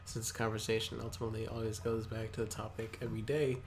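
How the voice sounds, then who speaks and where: A man's voice: lowish voice, monotone, fast talker, a little hiss or hum. A man casually reading aloud as a co-host, with joking commentary along the way. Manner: joking, easily amused.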